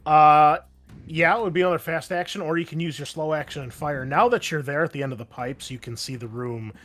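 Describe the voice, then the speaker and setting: A man's voice: fairly high pitch, steady tone, fairly quick, fairly bright tone, faint music behind. A man acting as game master of a tabletop game, explaining the scene in an informal, conversational way. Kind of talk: casual tabletop game narration.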